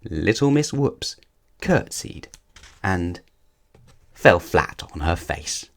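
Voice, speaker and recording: A man reading a children's picture book aloud as a storyteller, with deliberate, dramatic emphasis. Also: low-pitched, big pitch swings, gravelly, a bit bright. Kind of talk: expressive scripted children's story narration.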